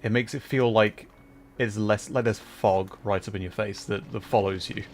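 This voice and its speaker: A man's voice: on the low side, fairly even intonation, moderate pace. A man livestreaming a video game with casual commentary, thinking aloud in an easygoing way.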